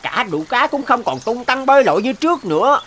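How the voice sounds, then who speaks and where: A man's voice: extremely high pitch, big pitch swings, a little fast, a bit bright, slight background noise, exaggerated voice. Man playing an alarmed, pitying villager. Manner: exclaiming, lamenting. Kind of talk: dubbed folktale cartoon acting.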